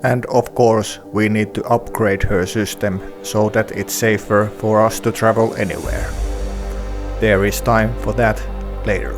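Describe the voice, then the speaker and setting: Finnish-accented man, middle-aged, low-ish voice, unhurried vlog voice-over over music. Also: steady tone. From Finland. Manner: plain, careful non-native phrasing. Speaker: sailing vlogger. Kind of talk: dubbed voice-over narration.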